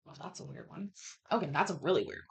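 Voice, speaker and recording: A woman's voice: low-pitched, fast talker, creaky. A woman in her thirties hosting a casual livestream quiz, reading questions aloud and chatting candidly, swearing and interrupting herself. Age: 30s. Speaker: host of a live online trivia chat.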